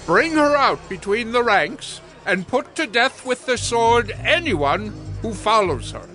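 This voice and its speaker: A man's voice: very high-pitched, very animated, a little slow, bright, forceful tone, soft background music, full character voice. A man playing a stern, commanding priest of ancient Judah, declaiming orders in a dramatized audio Bible.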